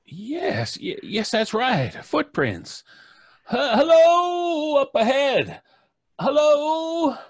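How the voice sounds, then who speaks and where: A middle-aged man playing a gruff, determined small-town doctor in an old-time radio horror play, with dramatic pauses. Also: extremely high pitch, sing-song, dry recording, studio quality, exaggerated voice. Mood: urgent, gruff. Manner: urgent, commanding, dramatic pauses.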